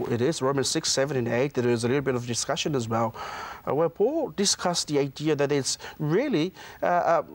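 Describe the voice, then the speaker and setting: A man's voice: on the high side, no echo. A man, a pastor being interviewed in a studio, speaking hesitantly with many restarts. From Samoa. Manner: hesitant, many restarts and fillers, soft-spoken.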